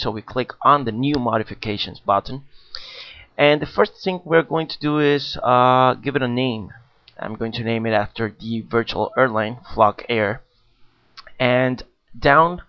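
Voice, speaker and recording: Man, tutorial narrator, mid-pitched voice, plain explanatory delivery, muffled lo-fi recording. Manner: plain explanatory, frequent uh fillers. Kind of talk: screen-recorded software walkthrough.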